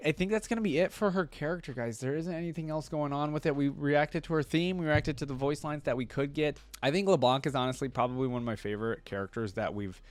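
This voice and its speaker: A man hosting a reaction video, chatting casually and thinking out loud with frequent restarts. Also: medium pitch, no echo. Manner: rambling, thinks aloud with restarts.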